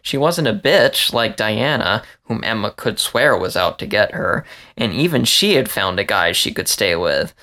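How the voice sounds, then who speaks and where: A man with a creaky voice reading a story aloud as scripted narration. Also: fairly low pitch, very animated, a little slow, sharp tone. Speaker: story reader.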